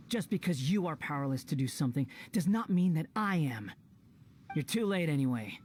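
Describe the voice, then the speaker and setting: A woman's voice: deep voice, big pitch swings, moderate pace, darkish tone, a little hiss or hum. A woman voicing a haughty, menacing video game villain who speaks in a dismissive, taunting manner. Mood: scornful. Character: haughty, menacing female villain, scornful and sly.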